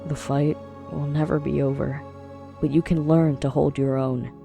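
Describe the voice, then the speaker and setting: A man's voice: on the high side, little pitch movement, moderate pace, vocal fry, dark tone, soft background music. A man playing an earnest, supportive young friend in an audio drama, speaking with emphatic, reassuring phrasing. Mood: firm advice.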